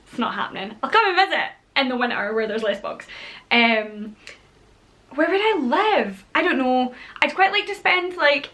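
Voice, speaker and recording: A woman's voice: fairly high pitch, fairly bright tone. A Scottish woman in her thirties chatting casually to camera as a vlogger, bubbly and chatty, with playful whispered asides. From Scotland. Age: 30s.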